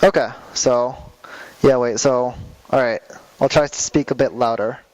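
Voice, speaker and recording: A man's voice: medium pitch, steady tone, a little slow, darkish tone, no echo, rough recording. A young man teaching a class, speaking with many fillers and restarts, recorded by a camera across a classroom. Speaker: class instructor. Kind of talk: classroom lecture. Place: classroom with projector.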